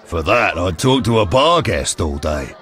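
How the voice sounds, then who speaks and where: A surly, grasping innkeeper from a fantasy game, low in pitch with big lively swings. He is brusque and unwelcoming, over faint tavern crowd murmur. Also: a little slow, faint music behind, heavily acted. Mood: grudging. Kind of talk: video game dialogue acting.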